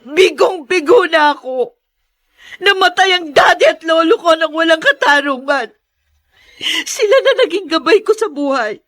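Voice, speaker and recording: A woman's voice: very high-pitched, expressive, normal speed, fairly bright tone, no echo, clean studio sound. An actress playing a devastated but defiant young woman, speaking in a tearful, emphatic radio melodrama manner. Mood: despairing. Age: young adult. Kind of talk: melodramatic radio drama acting.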